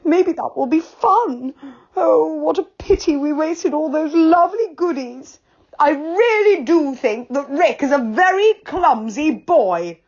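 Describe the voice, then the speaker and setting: A woman's voice: high-pitched, expressive, slow pace, a little mellow, voice pushed up, heavily acted. A woman doing a wailing, weepy storybook fellow. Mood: sniffly. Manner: wailing, whimpering. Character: timid round-faced male tree dweller. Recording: dull lo-fi sound.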